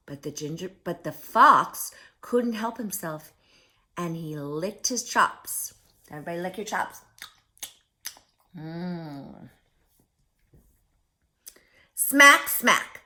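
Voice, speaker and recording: A woman's voice: mid-range voice, sharp tone. A woman reading a picture book aloud to young children as a story-time host, theatrical and often putting questions to her listeners.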